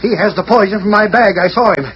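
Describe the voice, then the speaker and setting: A man's voice: high voice, fast, fairly bright tone. A man acting a cowardly, frightened crooked doctor in a 1940s radio western, agitated and urgent, on a muffled, noisy recording.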